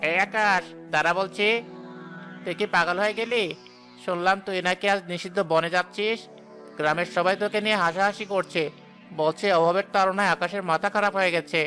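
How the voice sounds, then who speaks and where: A man acts a worried young village friend in a cartoon tale, admonishing urgently. The voice is high and piercingly bright, over light music. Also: steady tone, fairly quick. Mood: urgent scolding. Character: young village friend, alarmed, scolding, concerned. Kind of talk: cartoon drama dialogue.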